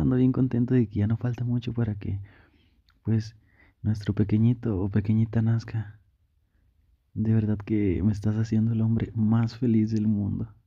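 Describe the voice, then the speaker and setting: A man's voice: fairly low pitch, creaky, warm tone, slightly rough, no echo, lo-fi sound, pitched below the performer's own voice. A man playing a doting, anxious young boyfriend in an intimate ASMR roleplay, tender and reassuring, repeating his words insistently. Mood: tender, affectionate.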